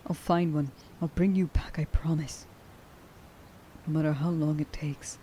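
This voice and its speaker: A woman playing a tender, emotional young huntress in an ASMR roleplay, speaking softly and breathily and often dropping toward a whisper. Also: low-pitched, steady tone, measured pace, slight background noise. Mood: earnest, reassuring. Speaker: voice actor.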